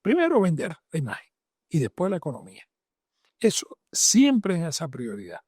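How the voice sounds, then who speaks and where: Older Cuban man, fairly high voice. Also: wildly swooping pitch, normal speed, darkish tone, breathy edge, dry recording, clean studio sound. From Matanzas province, Cuba. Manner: emphatic, repeats phrases in lists. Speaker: interviewed doctor and author. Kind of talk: spoken interview answers.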